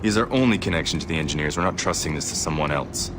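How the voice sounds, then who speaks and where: An actor playing a grim middle-aged covert-ops commander in a game cutscene, terse and commanding. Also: male voice, rumbling low, animated, quick pace, noisy background. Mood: cold, stern. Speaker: team leader. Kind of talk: dramatic game cutscene acting.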